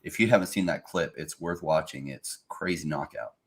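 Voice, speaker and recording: A man's voice: rumbling low, normal speed, crowd noise. A man on a casual podcast panel who talks emphatically and lays out long, opinionated arguments.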